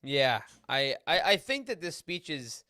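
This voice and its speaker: Guy with bright forceful higher voice, super dry sound, casual podcast talk. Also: sing-song, unhurried, no room sound. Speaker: podcast panelist. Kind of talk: casual roundtable discussion.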